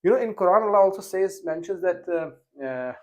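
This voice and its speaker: A man's voice: high voice, expressive, brisk, a little hiss or hum. Hesitant chatty male podcast host. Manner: hesitant, many fillers and restarts. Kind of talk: casual interview chat.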